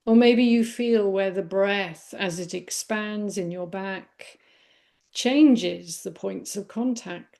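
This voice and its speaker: A woman leading a guided body scan meditation in a gentle, unhurried manner with long pauses. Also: mid-range voice, gravelly. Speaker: meditation teacher.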